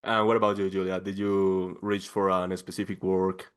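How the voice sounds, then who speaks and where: Guy interviewing in english, kinda low voice, excited gushing questions. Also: normal speed, clean tone. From Colombia. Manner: eager, effusive questions. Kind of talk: press junket interview.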